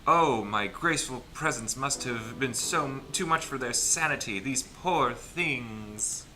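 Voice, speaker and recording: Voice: mid-range voice, a little slow, bright, forceful tone, low-quality recording. A person reading a visual novel aloud as narrator in a casual group playthrough, playful and dramatic, with stumbles and wry asides. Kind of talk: casual gaming let's play, reading story text aloud with asides. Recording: music playing behind the voice.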